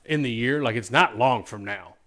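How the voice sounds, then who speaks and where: A man's voice: medium pitch, normal speed, a bit bright. An American man co-hosting a podcast in casual, enthusiastic pop-culture chat, speaking emphatically. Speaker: podcast co-host.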